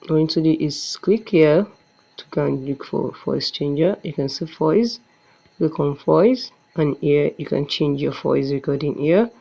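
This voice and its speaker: A man's voice: a little high, expressive, measured pace, creaky, mellow. A man presenting a step-by-step app tutorial, often repeating and restarting his phrases on a muffled recording. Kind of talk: step-by-step screen tutorial narration.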